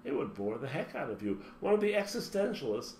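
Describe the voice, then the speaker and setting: A man's voice: fairly high pitch, very animated, fairly quick, darkish tone. A man giving a motivational talk as a spiritual teacher, speaking emphatically and running through long spoken lists. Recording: roomy echo with faint background hum.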